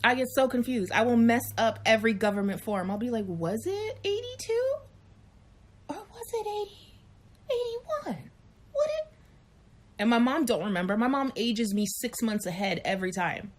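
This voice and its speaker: A woman in her late thirties chatting frankly and animatedly on a live chat show, telling stories and acting out quick voices. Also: on the high side, animated. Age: 30s. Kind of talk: candid casual chat.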